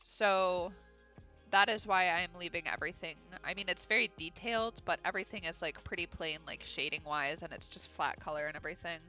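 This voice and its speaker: A woman's voice: on the low side, a little fast, bright, forceful tone, faint music behind, rough recording. A woman with a Midwest American accent chats casually on a livestream while she draws, reading out viewer comments with fillers and giggles. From Ohio, USA. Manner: chatty and upbeat, with frequent fillers and giggles. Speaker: illustrator live-streaming her drawing.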